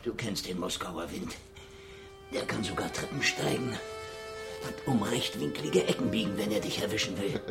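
A man's voice: fairly low pitch, normal speed, creaky, over loud music. An actor playing a cynical, down-at-heel private detective in a noir radio drama, sardonic and laconic as he talks and narrates. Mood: wry, defiant. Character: cynical down-at-heel Moscow private detective, hard-boiled, wry. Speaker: lead actor and first-person narrator.

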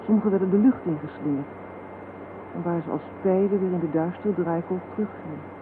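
An actress playing a grave survivor who gives a measured, literary account in a radio play. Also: female voice, fairly low pitch, lightly acted. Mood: grave, sombre. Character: grave female survivor recounting a bombing disaster. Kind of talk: dramatic scripted narration in a radio play. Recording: tinny, noisy old radio recording.

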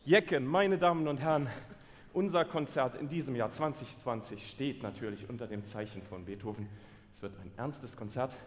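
A man's voice: medium pitch, normal speed, slight background noise. A man who is the conductor and co-presenter, hosting a carnival concert with jovial, mock-serious banter. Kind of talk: comedic carnival stage banter. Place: concert hall stage. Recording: live hall reverb with audience.

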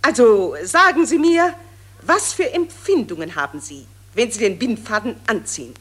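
A pushy war reporter. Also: female voice, fairly high pitch, expressive, normal speed, fairly bright tone, breathy edge. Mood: insistent. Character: pushy female war reporter, gushing and insistent. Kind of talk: radio play acting.

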